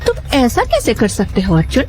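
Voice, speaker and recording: A woman's voice: on the high side, wildly swooping pitch, moderate pace, darkish tone, soft background music. A woman playing a haughty, theatrical young rich woman in an audio drama. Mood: pleading.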